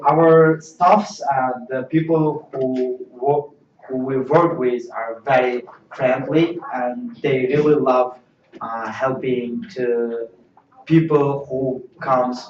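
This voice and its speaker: A man's voice: medium pitch, steady tone, takes it slowly, darkish tone, slight background noise. A man playing an interviewer in a mock job interview, asking simple questions in non-native English. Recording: distant, roomy, dull lo-fi sound.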